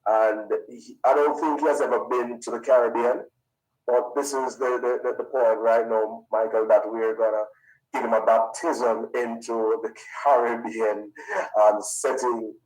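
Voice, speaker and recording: A man moderating a conference, introducing a guest speaker in a lively, playful way. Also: medium pitch, a little slow, distant mic, rough recording.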